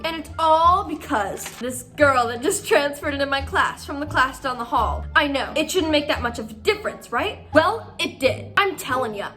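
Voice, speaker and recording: A young woman with a fairly high voice reads a diary aloud in a melodramatic style. She stretches words for theatrical emphasis and slips into casual, self-mocking commentary. Light music plays behind her. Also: pushed and bright. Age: young adult. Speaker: vlogger reading own childhood diary.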